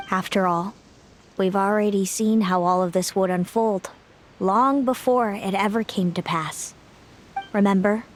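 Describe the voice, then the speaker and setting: A gentle older sister, voiced by a woman, with a mid-pitched voice and slow, careful, consoling delivery. Quiet music runs under her lines, as in a game cutscene. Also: lightly acted. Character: gentle older sister, long-lived, calm and sad. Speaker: game character. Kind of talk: game voice acting.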